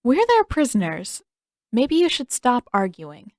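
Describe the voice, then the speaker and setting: A woman's voice: on the high side, wildly swooping pitch, unhurried, no room sound, studio quality, voice pushed up. A woman voicing a sheepish, easygoing young boy in an audiobook story, mild and artless. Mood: uneasy, artless. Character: Aang, boyish, sheepish, easygoing young airbender. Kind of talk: audiobook character dialogue.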